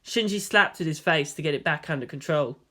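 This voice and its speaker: Narrator: man with a lightly high voice, delivering prose fiction as a scripted read. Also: brisk, pushed and bright.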